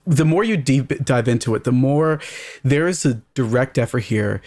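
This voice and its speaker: A man's voice: medium pitch, expressive, moderate pace, darkish tone. A man speaking as a podcast guest, discussing fantasy games in an emphatic, candid, opinionated way. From Canada. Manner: emphatic, candid, swears casually. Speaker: podcast guest, game writer.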